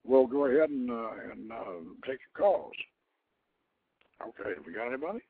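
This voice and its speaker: A man hosting a radio show, explaining things informally in a halting way, repeating and rephrasing as he goes. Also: medium pitch, animated, vocal fry, a little hiss or hum. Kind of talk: informal explanatory talk, taking calls. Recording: narrow phone-like internet radio line.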